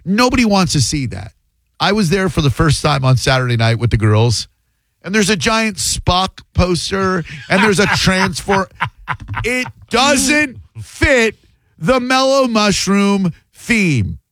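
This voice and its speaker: A man's voice: high voice, very animated, fairly bright tone, no room sound. Blunt, mocking male radio host. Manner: blunt, mocking, quick to rant. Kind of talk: call-in radio banter.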